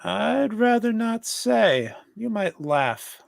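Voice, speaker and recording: A man voicing an earnest, gentle little cartoon boy, solemn and sincere, at a live table read. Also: high voice, very animated, slow pace, gravelly, dry recording, studio quality, full character voice.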